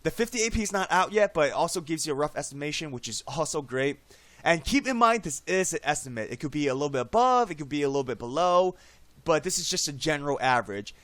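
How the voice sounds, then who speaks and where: A man recovering from a cold, his voice slightly hoarse, chatting casually through a screen-recorded game tutorial and tagging "right?" onto his sentences. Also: on the high side, expressive, fast talker, fairly bright tone, no echo. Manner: chatty, frequent "right?" tag questions. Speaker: game guide YouTuber.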